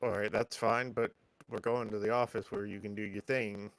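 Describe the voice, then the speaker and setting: A man with a gravelly, fairly low voice plays a tabletop roleplaying game. He banters and plans in character, blunt and profane, over faint background music. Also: level tone, moderate pace, a bit bright, rough recording. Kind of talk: casual in-character banter and planning.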